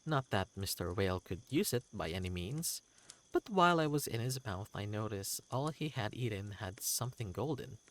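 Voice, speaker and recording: A man's voice: lowish voice, expressive, moderate pace, a bit bright, slight background noise. A man voicing an eccentric, proud wanderer creature telling tales in a fantasy game, with a theatrical, whimsical and courteous manner. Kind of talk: character voice in a fantasy game reading.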